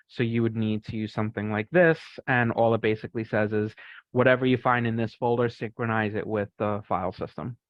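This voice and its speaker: A man's voice: medium pitch, no echo. A man casually giving a technical explanation on an online call with dull, lo-fi audio. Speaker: technical presenter.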